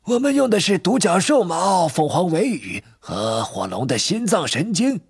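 A man voicing an eccentric old wandmaker in a storybook, with a breathy, husky voice and theatrical, drawn-out delivery. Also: high voice, big pitch swings, no echo, studio quality, voice pushed up, heavily acted. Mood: eager, explaining. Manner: slow, drawn-out, swooping delivery with muttered asides.

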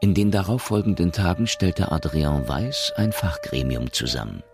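A man narrating a science fiction radio drama in a measured, matter-of-fact, gravelly voice. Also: low voice, lively intonation. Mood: sober. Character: sober male narrator of a science fiction story. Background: music.

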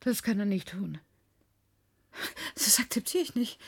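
Husky, brittle woman on the edge. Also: medium pitch. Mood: defiant. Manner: halting, guarded. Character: brittle, secretive, grieving woman programmer, obsessed and desperate. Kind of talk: radio play acting.

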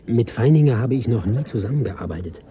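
An actor playing an eager, secretive gold digger in a 1980s German radio drama, speaking confidingly. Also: male voice, mid-range voice, expressive, a little fast, dark tone, close to an everyday voice.

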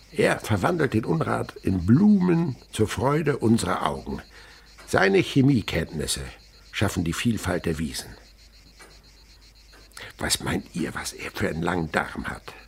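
Radio drama lead: impassioned insect-researcher father, gravelly and hugely animated, lecturing children lyrically. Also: low voice, a little slow, slight background noise, full character voice. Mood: tender wonder. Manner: theatrical, rhapsodic, lecturing to children. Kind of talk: German radio drama acting.

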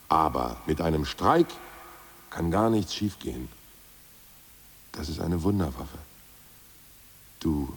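A gruff ousted party boss. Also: male voice, sits low, darkish tone. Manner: blunt, coarse, self-assured bluster. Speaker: actor in radio play. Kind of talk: 1980s radio drama acting.

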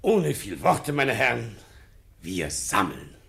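An actor playing a self-important, eager burgher of a morality society in a radio comedy, formal and emphatic. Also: male voice, medium pitch, wildly swooping pitch, slow pace, gravelly, fairly bright tone, exaggerated voice. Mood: solemn, pompous. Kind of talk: classic German radio comedy acting.

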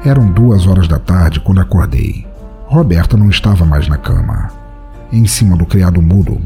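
A man's voice: low voice, animated, moderate pace, dark tone, soft background music. A man playing a cynical, world-weary poet of twenty-nine, narrating a brooding, sardonic first-person audiodrama.

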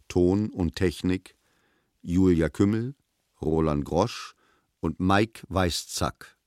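Male announcer reading credits, slow and formal, dry close sound, lots of intonation. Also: low-pitched, darkish tone, no echo. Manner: measured, formal. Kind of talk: scripted radio drama credits.

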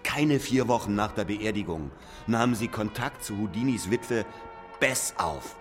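Casting: male actor for insistent, accusing interrogator, very low register, radio play, long reverb. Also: a little slow, close to an everyday voice. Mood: relentless. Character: hard, relentless male interrogator confronting an aged knighted author. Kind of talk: German radio drama acting. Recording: long reverb with music behind.

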